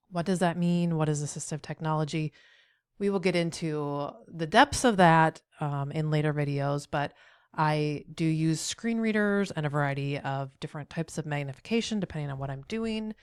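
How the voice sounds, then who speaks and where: Low-voiced middle-aged woman with a cold. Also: clear, resonant tone, no echo, clean studio sound. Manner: encouraging, speaks straight to the viewer with frequent "right?". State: head cold, a bit nasal. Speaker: accessibility trainer. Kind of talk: tutorial video.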